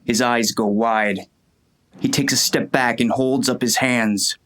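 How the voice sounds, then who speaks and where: A man's voice: mid-range voice, fairly even intonation, measured pace, a bit bright, lightly acted. A man playing a hard, vengeful sheriff in a western audiobook, speaking in a curt, threatening way. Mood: cold anger. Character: hard, menacing male sheriff, a vengeful father. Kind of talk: first-person audiobook narration and dialogue.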